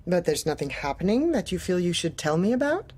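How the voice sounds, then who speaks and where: Manipulative female boss: low-voiced, officious, falsely polite, dark-comedy audio drama. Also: very animated, fairly quick, slightly rough. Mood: falsely pleasant. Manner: clipped, officious, controlled.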